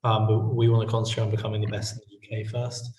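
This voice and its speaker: A man's voice: fairly low pitch, monotone, rapid-fire, a little mellow. Earnest man in a roomy space. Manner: earnest, business-minded, many fillers. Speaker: guest, media company co-founder. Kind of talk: casual interview answers. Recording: roomy audio from far off the mic.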